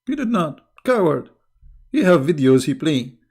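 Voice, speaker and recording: A man's voice: a little high, very animated, unhurried, a little mellow, husky. A man with an Arabic accent, a native Arabic speaker, speaking in a combative, mocking monologue to viewers and often using fillers. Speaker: online debater.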